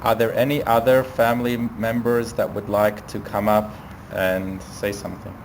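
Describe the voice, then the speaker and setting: A man's voice: fairly low pitch, level tone, moderate pace. A man officiating a funeral as rabbi, making formal announcements to those gathered. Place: funeral chapel. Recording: noisy live room recording.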